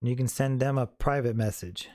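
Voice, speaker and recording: Casual man with a mellow, dry sound. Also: mid-range voice, brisk, no echo. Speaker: host of a how-to tutorial. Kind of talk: casual, unscripted software tutorial.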